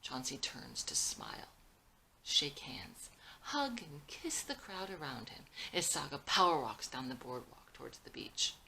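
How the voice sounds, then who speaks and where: A woman's voice: a bass voice, sing-song, unhurried, creaky, sharp tone, a little hiss or hum, poor audio. A woman reading scripted action and scene directions as a dramatic narrator. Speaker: screenwriter reading own script.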